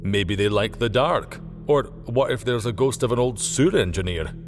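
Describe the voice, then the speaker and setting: A man voicing a gruff, cheeky, impatient young Scottish workman in an audiobook. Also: mid-range voice, expressive, moderate pace, big-room sound, faint music behind, natural voice. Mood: joking. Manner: joking, matey, impatient.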